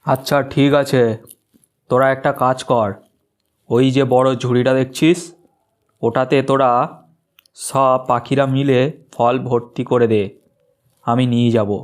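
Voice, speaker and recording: Male actor as bird gang boss, bullying, bossy, resonant, steady pitch, children's cartoon villain. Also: unhurried, pauses often, close to an everyday voice. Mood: threatening. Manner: threatening, bossy, commanding. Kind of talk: Bengali children's cartoon voice acting.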